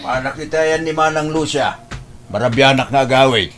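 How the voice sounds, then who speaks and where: A man's voice: medium pitch, a little fast, a bit bright, faint music behind, natural voice. A man playing a proud, scornful older father with a Filipino accent, grumbling and blustering in an Ilocano family radio drama. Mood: dismissive.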